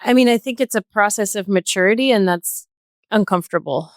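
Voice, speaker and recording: A woman speaking as a podcast guest, reflecting in an earnest, measured way in a panel discussion. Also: medium pitch, no echo, studio quality.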